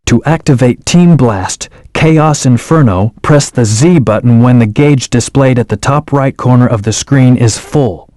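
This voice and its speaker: An actor voicing an aloof male hedgehog hero in a video game, giving calm, terse commands and hints. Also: medium pitch, a little slow, few pauses, no echo, studio quality, close to an everyday voice. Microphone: studio microphone.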